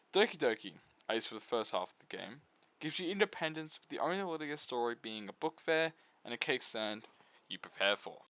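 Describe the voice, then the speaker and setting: A man's voice: mid-range voice, big pitch swings, moderate pace, sharp tone, dry recording. A man giving a casual video essay review of visual novels, full of flippant, irreverent asides.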